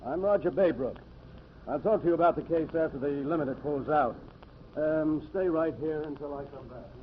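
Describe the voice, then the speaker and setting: A self-important male county sheriff, played by an actor, speaks stiffly and precisely in a slightly high voice. It has the thin, phone-like sound of 1940s radio drama. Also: moderate pace, a little hiss or hum, close to an everyday voice. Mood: stiff, formal. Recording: thin, band-limited old radio sound.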